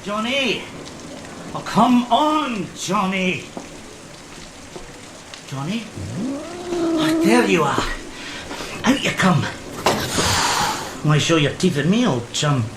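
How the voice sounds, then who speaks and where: A man's voice: high voice, very animated, slow pace, pauses often, full character voice. A man playing an anxious, coaxing sailor in a gothic horror audio drama. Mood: coaxing, uneasy. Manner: coaxing, calling out. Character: anxious sailor hunting the ship's lost cat. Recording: echoey, rain and thunder noise.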